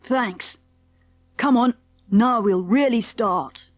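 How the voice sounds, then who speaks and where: A woman's voice: a little high, slow pace, no room sound, faint music behind. A woman playing a polite, sensible schoolboy who leads his friends in an audiobook. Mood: polite, confident. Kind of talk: audiobook character dialogue.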